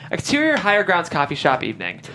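Low-voiced woman reading scene directions, lively inflection. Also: fast. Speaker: stage-direction narrator. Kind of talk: scripted narration at a table read.

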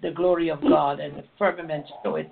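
A hoarse woman hosting a prayer line, leading intercession fervently and repeating words of praise. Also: low voice, lively intonation, brisk. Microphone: phone call. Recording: tinny phone line.

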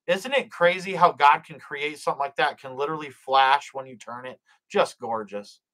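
Guy doing a late-night faith livestream, kinda high voice, lots of uhs and god bless yous. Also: moderate pace, bright, forceful tone, poor audio. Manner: reads chat aloud, blesses viewers, prays aloud; hesitant, many fillers and restarts. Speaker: live stream host. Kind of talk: casual live stream chat with prayers.

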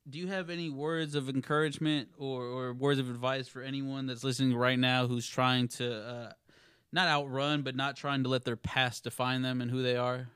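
A man's voice: mid-range voice, level tone, moderate pace, a bit bright, clear, resonant tone, no echo, studio quality. A man in his twenties hosting a podcast, asking questions and agreeing often. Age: 20s. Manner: encouraging, many short agreeing replies. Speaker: podcast host. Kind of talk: casual interview talk.

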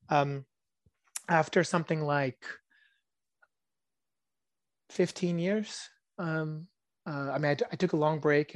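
Soft-spoken Israeli-born man, dry sound. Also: on the high side, no room sound. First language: Hebrew. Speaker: podcast guest, tabletop game designer. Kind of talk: casual interview chat.

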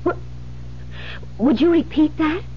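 An anxious young American bride with a fairly high voice. She speaks haltingly, trying to hide her fear, in an old radio thriller. The recording is dull and a little noisy. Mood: anxious, polite. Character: frightened young bride hiding her growing terror. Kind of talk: radio drama acting.

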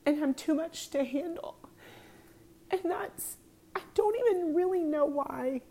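A woman's voice: sits high, measured pace, warm tone. A woman in her thirties hosting her own podcast, talking in an earnest, confessional way with many ums. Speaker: podcast host. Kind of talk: casual personal talk.

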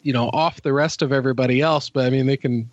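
A man joining a podcast chat and talking in a relaxed, rambling way. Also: medium pitch, fast talker, no echo, lo-fi sound.

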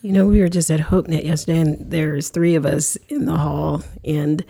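A woman's voice: low-pitched, fairly even intonation, moderate pace, vocal fry, dark tone, breathy edge. A woman hosting an interview in a casual chat style, talking in reflective, rambling sentences full of restarts. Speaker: podcast host.

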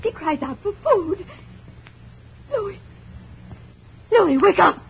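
An actress playing a scientist's devoted, anxious wife, earnest and dramatic in a 1940s radio play. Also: female voice, very high-pitched, animated, slow pace, gravelly, exaggerated voice. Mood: elated, urgent. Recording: dull old radio recording, faint hiss.

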